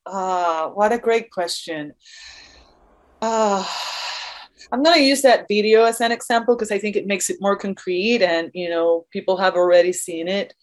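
A woman speaking as an interview guest, explaining her ideas in a reflective, earnest, conversational way. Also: medium pitch. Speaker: interview guest, dance choreographer.

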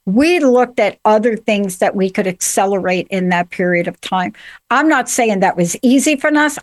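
A woman hosting a conversation, speaking briskly and asking her guest questions. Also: medium pitch. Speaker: podcast host. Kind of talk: casual interview chat.

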